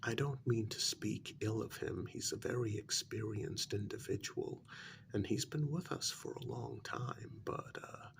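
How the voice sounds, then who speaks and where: A man playing an evasive, deferential worker in a soft ASMR role-play, speaking hesitantly and politely in a breathy half-whisper. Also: lowish voice, measured pace, background noise. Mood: reluctant, deferential. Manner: hesitant, polite, gossipy while feigning reluctance. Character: evasive, deferential male worker smoothing things over with his boss.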